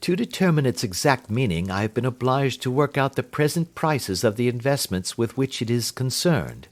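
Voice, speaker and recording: A man voicing a calm, amused detective who speaks quietly and imperturbably. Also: medium pitch, normal speed, lightly acted. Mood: explaining.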